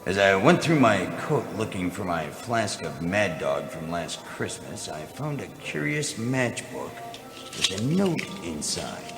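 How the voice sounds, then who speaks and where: An actor playing a weary, cynical, wry private eye in a deadpan radio noir parody. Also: male voice, sits low, measured pace, creaky, reverberant, music in the background, exaggerated voice. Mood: brooding.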